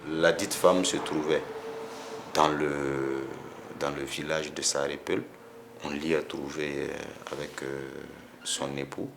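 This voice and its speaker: A man gives measured, hesitant interview answers. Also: very deep voice, little pitch movement, gravelly, noisy background.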